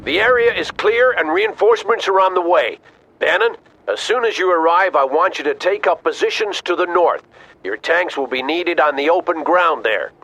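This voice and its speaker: An actor playing a stern, decisive army officer giving clipped, authoritative orders in a video game. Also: male voice, high-pitched, lively intonation, normal speed, sharp tone, exaggerated voice, rough recording. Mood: firm, commanding. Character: stern commanding male army officer, decisive battlefield leader. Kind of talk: video game radio-briefing acting.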